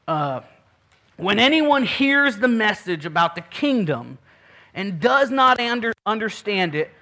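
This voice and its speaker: Bright-voiced pastor in his thirties. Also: high-pitched. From Puerto Rico. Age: 30s. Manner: earnest, self-deprecating, many restarts and fillers. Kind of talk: sermon.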